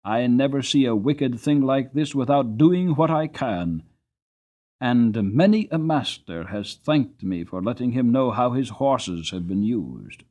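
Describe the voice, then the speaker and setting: A man voicing a principled gentleman in an audiobook, speaking in an earnest, emphatic and moralizing way. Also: mid-range voice, expressive, unhurried, lo-fi sound, lightly acted. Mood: earnest, righteous. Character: principled, earnest gentleman who challenges cruelty. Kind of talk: dramatic audiobook character reading.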